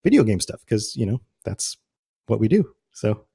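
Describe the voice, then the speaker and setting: A man's voice: on the low side, very animated, moderate pace, gravelly, dark tone, husky. A man hosting a podcast interview in a casual, conversational style.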